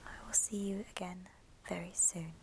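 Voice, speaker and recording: A woman hosting an ASMR show and tell in a soft, breathy whisper, speaking gently in short phrases. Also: fairly low pitch, little pitch movement, normal speed, slight background noise. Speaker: ASMR video host.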